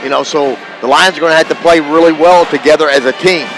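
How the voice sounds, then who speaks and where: A man's voice: a little high, steady tone, quick pace, music in the background, poor audio. A man giving live sports commentary as a radio analyst, plain-spoken and folksy in a conversational way. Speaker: radio broadcast partner, analyst. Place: corner perch in a school gymnasium. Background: gym ambience, bouncing basketballs.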